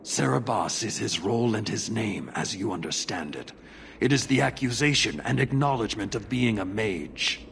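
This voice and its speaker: Stern, gravelly warrior zealot. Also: male voice, fairly low pitch, lively intonation, a bit bright, slight background noise. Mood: stern, cold. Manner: formal, measured, declamatory. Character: stern zealous horned Qunari warrior, commanding and unyielding. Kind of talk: video game acting.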